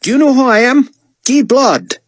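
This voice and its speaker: A man's voice: sits high, big pitch swings, a little fast, husky, dry recording, clean studio sound, voice pushed up, exaggerated voice. A man voicing a temperamental, sassy male artist in an audiobook, imperious, petulant and theatrically demanding. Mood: haughty. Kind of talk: audiobook character voice.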